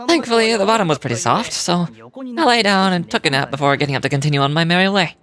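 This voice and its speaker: An actor voicing a revived ancient ruler in a boy's body, wry and easygoing, in dramatic video game dialogue with a deliberate delivery. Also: mid-range voice, lively intonation, fairly bright tone, lightly acted. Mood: wry, lighthearted. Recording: game music behind the voice.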